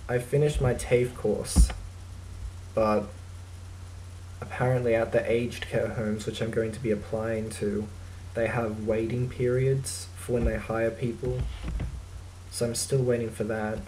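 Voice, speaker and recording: A young man with an Australian accent, talking casually to his webcam in a dry, deadpan, self-mocking way while answering a list of questions. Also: mid-range voice, slight background noise, poor audio. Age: young adult. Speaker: vlogger. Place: small indoor room.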